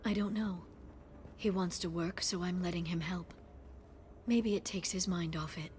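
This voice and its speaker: Woman as gentle alien scholar, lowish voice, soft earnest delivery, video game, faint noise behind. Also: fairly even intonation, normal speed, close to an everyday voice. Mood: hesitant, tender.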